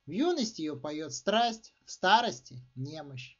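A man voicing a pompous, gruff provincial landowner who mutters and barks self-importantly in a story reading. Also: fairly high pitch, sing-song, measured pace, fairly bright tone, pitched below the performer's own voice. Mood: musing. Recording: dull, slightly muffled recording.

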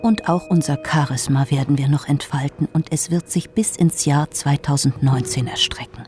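A woman's voice: low-pitched, moderate pace, a little mellow, faint music behind, natural voice. A woman playing a defiant whispering delegate. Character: defiant female delegate, proud and alert.

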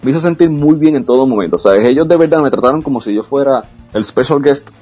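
A man's voice: mid-range voice, animated, fast, mellow, slightly rough, lo-fi sound, faint music behind. A man, an esports caster speaking frankly and confidently as a guest in a casual interview. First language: Spanish. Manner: frank, self-assured, with frequent restarts.

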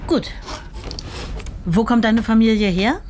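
A woman's voice: on the high side, slow, a little mellow, a little hiss or hum. A woman playing a friendly, businesslike clerk at a tracing office in a naturalistic radio drama. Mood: helpful, businesslike.

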